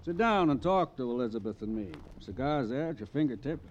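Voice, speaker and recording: An actor playing a smooth, self-assured businessman husband with mocking geniality in an old-time radio crime drama. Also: mid-range voice, expressive, fairly quick, natural voice. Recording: dull, noisy vintage radio recording.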